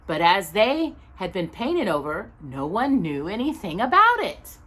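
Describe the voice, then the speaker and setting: A woman's voice: mid-range voice, huge pitch swings, unhurried, fairly bright tone. A woman storytime host narrating a children's book expressively, with dramatic, emphatic phrasing. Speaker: storytime host reading aloud.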